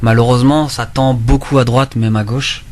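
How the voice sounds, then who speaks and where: A man's voice: medium pitch, fairly even intonation, normal speed, darkish tone, slight background noise. A man talking on a radio panel, hesitant and full of fillers. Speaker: show guest.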